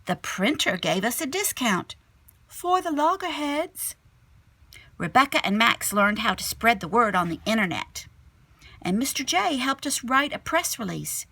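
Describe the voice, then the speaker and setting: Slow, slightly husky woman reading a storybook. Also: a little high, dry recording. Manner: animated storyteller, drops to hushed whispery tones. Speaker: second grade teacher reading aloud. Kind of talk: expressive children's picture book read-aloud with asides.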